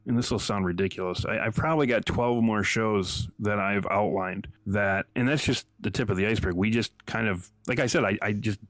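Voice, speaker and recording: A man's voice: on the low side, brisk, hardly any pauses, a bit bright, no echo. A man being interviewed as an audio drama creator, talking in a rambling, self-effacing and appreciative way. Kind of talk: casual interview conversation.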